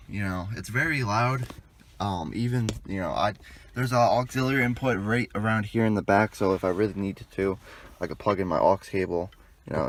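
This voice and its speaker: A young adult man giving a casual, informal and rambling hands-on walkthrough as a vlogger. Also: low voice. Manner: informal, rambling, mild swearing. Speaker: vlogger demonstrating a car radio installation. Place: in and around a parked car outdoors.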